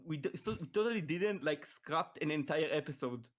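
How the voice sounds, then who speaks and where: A young man from Israel with an Israeli accent, Hebrew his first language, hosting a casual chat podcast and talking haltingly with many restarts. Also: a little high, animated. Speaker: podcast host.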